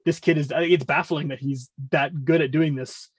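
A man's voice: fairly high pitch, steady tone, moderate pace, slightly rough, dry recording. A middle-aged man chatting casually as a podcast guest, talkative and often interrupting himself as he explains. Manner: talkative, rambling, self-interrupting. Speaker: podcast guest, music podcast host.

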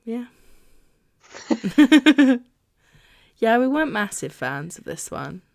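A woman's voice: fairly high pitch, sing-song, takes it slowly, vocal fry, a little mellow. A woman co-hosting a book podcast, chatting casually and laughing often. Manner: chatty, laughs often, reads blurbs aloud. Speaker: podcast co-host.

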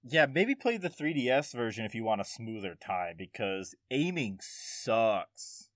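A man's voice: mid-range voice, big pitch swings, unhurried, no echo, clean studio sound. A man hosting a podcast in casual, jokey conversation, swearing freely. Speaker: podcast host. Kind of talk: casual chat.

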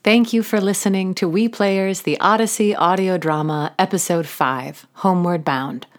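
A woman hosting an audio drama, reading a scripted outro and credits in a measured, earnest way. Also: fairly low pitch. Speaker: host and narrator of an audio drama podcast.

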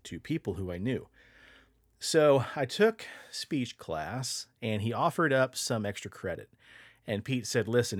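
A young man on a podcast, easygoing and chatty as he spins a story, with a low voice. The sound is dry and close to the mic. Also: husky, no echo. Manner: laughs often, swears casually, relaxed storyteller. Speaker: podcast co-host. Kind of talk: casual storytelling chat.

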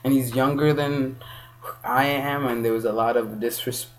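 Rambling young man, low voice, faint hum. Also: fairly even intonation, moderate pace, rough recording. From Kenya. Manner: rambling, many restarts and self-corrections. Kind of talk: casual chat.

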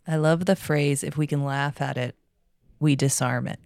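A woman's voice: low-pitched, steady tone, normal speed, creaky, darkish tone, no echo, studio quality. A woman interviewing a guest in a conversational, appreciative way. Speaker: podcast interviewer.

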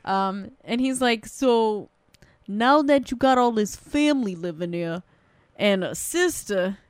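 A woman casually recapping a sitcom episode, animated, swearing freely and acting out the characters' lines. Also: mid-range voice, takes it slowly, clean tone, dry recording. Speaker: podcast co-host recapping a sitcom. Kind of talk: casual profane chat.

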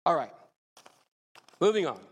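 A man preaching a sermon, a little raspy and hoarse from a cold, excitable and laughing as he talks. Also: a little high, unhurried, in a large hall. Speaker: lay preacher.

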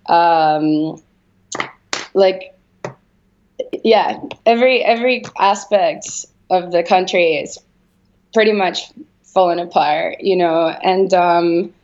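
A woman's voice: on the low side, darkish tone. A woman from Venezuela, an artist, giving casual, reflective answers in an interview and feeling her way through her thoughts. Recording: slightly dull, lo-fi sound.

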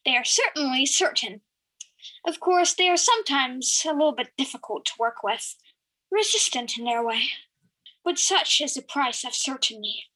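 A woman's voice: high-pitched, lively intonation, normal speed, pushed and bright, exaggerated voice, low-quality recording. A young woman acting in an audio drama as an eccentric, chatty, oblivious older lady who loves cats, in an airy, musing, theatrical manner. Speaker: student performer.

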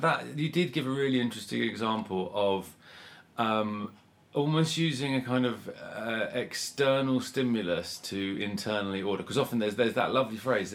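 A man in his forties co-hosting a podcast in casual chat, teasing his friend and trailing off quietly at the ends of thoughts. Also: lowish voice, a little hiss or hum. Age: 40s. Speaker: podcast co-host.

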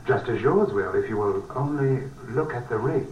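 An actor playing a sinister, smooth-talking uncle who coaxes and insinuates like a hypnotist, in 1940s radio suspense style. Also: male voice, medium pitch, lively intonation, normal speed, distant mic, lo-fi sound, natural voice. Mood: hypnotic coaxing. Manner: coaxing, insinuating. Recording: echoey, noisy old 1940s radio recording.

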